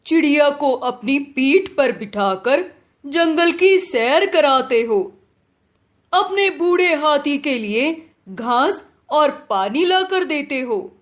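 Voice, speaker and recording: A woman voicing a stern but fair lion king in a children's moral story, speaking firmly and deliberately. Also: high voice. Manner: firm, admonishing, deliberate.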